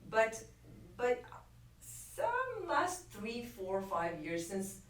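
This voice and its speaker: A woman's voice: a little high, wildly swooping pitch, extremely slow. A middle-aged woman with an Indian accent, talking conversationally as an interview guest and repeating phrases for emphasis. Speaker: interview guest, actress and filmmaker. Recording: echoey room, distant mic, faint noise.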